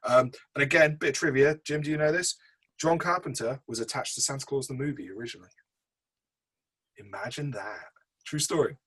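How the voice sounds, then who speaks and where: A man's voice: medium pitch, brisk, fairly bright tone, husky, poor audio. A man co-hosting a film review podcast, chatting casually in a rambling, matey, informal way.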